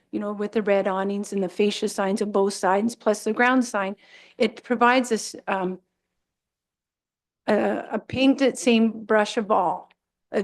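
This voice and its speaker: Woman staffer explaining bylaw rules. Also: mid-range voice. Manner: procedural, explanatory, frequent fillers. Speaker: municipal staff member. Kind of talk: explaining bylaws at a council meeting. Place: council chamber. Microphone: desk microphone.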